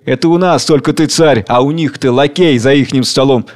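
A man's voice: mid-range voice, fairly quick, a little mellow, natural voice. Man playing a bitter, colorless-voiced old worker. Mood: accusing.

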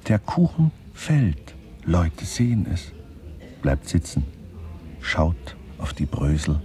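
An actor as a detached narrator, giving clipped, deadpan literary narration in a radio play. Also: male voice, low-pitched, slow, exaggerated voice. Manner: deadpan, clipped, fragmentary phrasing. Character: detached male narrator minutely observing people.

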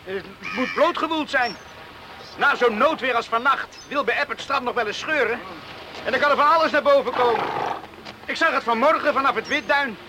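An actor playing an eager, adventurous teenage island boy in a Dutch radio play, excited and secretive in manner. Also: high voice, normal speed, noisy background, lightly acted. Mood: eager, insistent. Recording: radio play with loud outdoor ambience.

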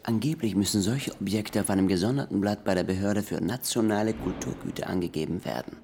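An actor playing an eager junior detective and sidekick in a German radio crime drama, informal and questioning. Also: male voice, lowish voice, quick pace, darkish tone, slight background noise, close to an everyday voice. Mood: puzzled.

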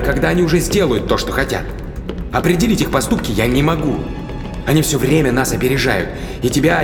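A man's voice: on the low side, lightly acted. An actor playing a bossy, curt spaceship crewman who lays out orders in a science fiction audio drama. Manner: commanding, curt, explanatory. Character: tense, bossy male spaceship crewman planning an ambush. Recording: echoey hall reverb under music. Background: music.

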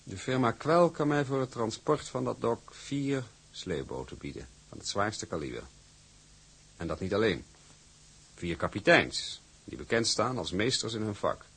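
An older male actor playing a shrewd, imperious company boss with a gravelly, husky voice and a deliberate, patronising manner in a drama. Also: on the low side, sing-song, a bit bright, heavily acted. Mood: commanding.

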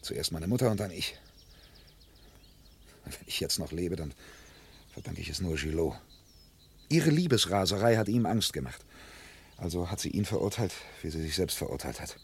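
A bitter, self-deprecating man in a radio crime drama. His voice is extremely low and full of gravel, with faint ambient noise around him. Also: animated, moderate pace, long pauses. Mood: brooding. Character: embittered, humiliated man suspected of murder, confessing.

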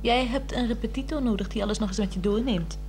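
An actress playing a bored, neglected, sharp-tongued middle-aged wife in a theatrical, teasing 1960s Dutch radio drama style. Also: female voice, fairly high pitch, fast, faint music behind, close to an everyday voice. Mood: reproachful. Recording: noisy 1960s radio recording with hum.

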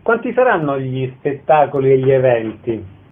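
A man's voice: mid-range voice, sing-song, normal speed. A man working as an interviewer, putting casual questions in a radio conversation. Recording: narrow band, phone-like radio sound.

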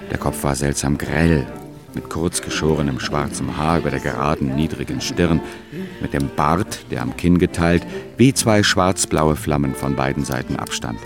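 An observant narrator tells a literary tale for radio. His voice is extremely deep, with music underneath. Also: vocal fry, full character voice. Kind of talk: scripted narration in a radio drama.

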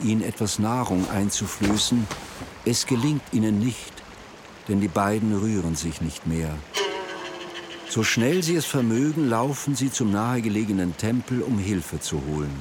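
A man's voice: lowish voice, lively intonation, moderate pace, noisy background. A man reading scripted narration for a radio drama.

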